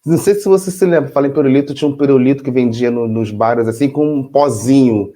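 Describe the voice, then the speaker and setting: Mellow-voiced Brazilian man co-hosting. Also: mid-range voice, fast. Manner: explains with rhetorical questions to the audience; relaxed, chatty, says "entendeu?" often. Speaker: co-host. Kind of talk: casual chat.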